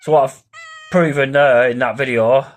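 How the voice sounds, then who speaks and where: A man hosts an informal explainer video in a medium-pitched voice. He talks in a rambling, conversational way, often tagging his phrases as he works through his points. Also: fairly even intonation, normal speed. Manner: rambling, repeats "yeah" and "obviously" as tags. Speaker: paranormal investigator and video host.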